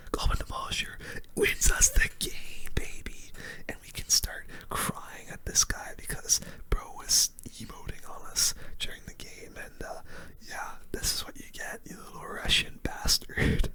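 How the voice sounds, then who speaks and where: A gaming host giving relaxed, casual ASMR commentary on a match in a soft, breathy whisper, full of gamer slang. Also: extremely high pitch.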